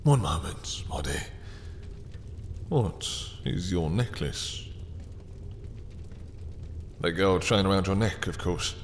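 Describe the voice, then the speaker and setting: A man playing a suave, possessive vampire lord in an intimate roleplay audio, speaking with mock courtesy, taunting seduction and quiet menace. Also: sits low, expressive, takes it slowly, pauses often, in a large hall, a little hiss or hum. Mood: smug, probing.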